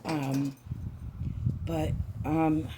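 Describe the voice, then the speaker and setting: A woman chatting softly in a home vlog, her voice raspy and hoarse from a raw throat, halting with many ums and restarts. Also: deep voice, level tone, noisy background. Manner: soft, hushed, near-whispering. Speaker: doll-collecting vlogger. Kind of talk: casual vlog chat.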